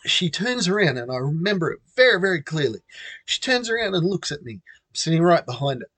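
A man's voice: on the high side, very animated, fairly quick, fairly bright tone, dry recording. A middle-aged Australian man casually telling a personal story, chatty and self-amused. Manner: chatty, self-amused, acts out quoted voices.

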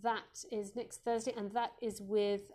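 A woman with a warm, mellow, slightly croaky voice hosts a live parenting Q&A. She speaks gently and reassuringly as a Montessori educator, often pausing on "um". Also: mid-range voice, level tone. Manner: gentle, reassuring, with frequent ums.

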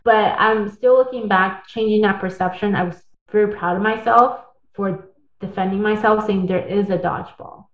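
A woman's voice: medium pitch, steady tone, normal speed. A woman telling her own story in a casual interview, earnest and talkative, with tag questions and restarts. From born in the United States, partly raised in Taiwan. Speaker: guest. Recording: echoey, phone-like audio.

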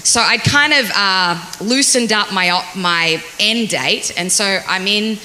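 A woman's voice: mid-range voice, slow, bright, forceful tone. A woman in her forties with an Australian accent, chattily sharing her testimony in church. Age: 40s. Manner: chatty and self-deprecating. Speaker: guest speaker. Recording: hissy echoey church hall.

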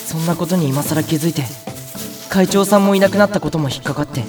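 A man acting an ordinary, excitable young big-brother type in a Japanese audio drama, chatty and comically flustered, with first-person narration. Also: on the high side, fast talker, distant mic, soft background music, natural voice. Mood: uneasy narration.

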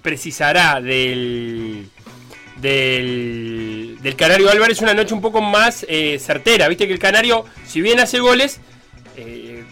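A bright, forceful male voice with a lilt, musing aloud about football and circling back on his words with fillers. Faint music hums behind him. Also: on the high side, lively intonation, slow. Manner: rambling, many fillers and restarts. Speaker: sports radio panelist. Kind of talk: casual football talk.

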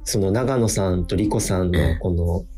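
Male radio guest, fairly low voice, modest and appreciative chat over light music. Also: normal speed, dark tone. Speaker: radio drama scriptwriter, guest. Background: background music.

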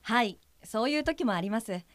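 A woman's voice: fairly high pitch, lively intonation, moderate pace, no echo, lightly acted. A woman playing an earnest guide helper for blind people in an audio drama, explaining in a polite, businesslike manner. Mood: matter-of-fact.

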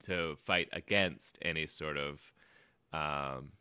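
A man's voice: extremely low pitch, fairly even intonation, slow, pushed and bright, clear, resonant tone, no echo. A man hosting a podcast interview, chatting casually with lots of fillers and restarts. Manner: rambling, many fillers and restarts. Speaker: podcast host.

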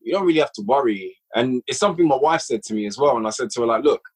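Husky man chatting casually as a guest. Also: medium pitch, very fast, low-quality recording. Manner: relaxed, rambling with many fillers. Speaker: guest, audio drama writer and producer. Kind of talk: casual podcast chat.

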